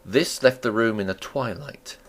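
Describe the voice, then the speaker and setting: A man's voice: mid-range voice, normal speed. A man reading a classic story aloud as a dramatic audiobook narrator.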